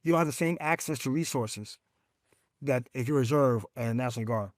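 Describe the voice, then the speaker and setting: A man who is a veteran advocate, talking emphatically in a podcast conversation and telling stories with lively, faith-filled conviction. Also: normal speed, dry recording, clean studio sound. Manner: emphatic, animated storytelling, speaks of faith; rising pitch when excited.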